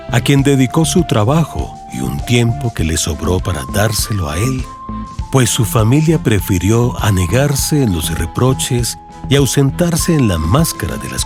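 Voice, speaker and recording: Deep-voiced Colombian man narrating, expressive. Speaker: audiobook narrator. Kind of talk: scripted literary narration, radio drama. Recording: music bed under narration. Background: music.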